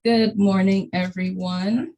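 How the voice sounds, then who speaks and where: A woman in her forties from Trinidad, a dentist introducing herself on a video call, friendly and brisk. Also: mid-range voice, steady tone, mellow, rough recording. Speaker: dentist, alumna speaker. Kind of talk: virtual panel introduction.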